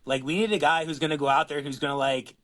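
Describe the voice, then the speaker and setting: A man's voice: a little high, fast talker, fairly bright tone. A man co-hosting a podcast in casual chat, rambling and laid-back, swearing now and then. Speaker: co-host.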